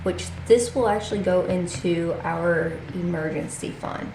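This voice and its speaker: A woman's voice: lowish voice, a little slow, roomy sound, noisy background. A congested woman hosting her own channel, chatting casually as she walks through her budget and reads out figures.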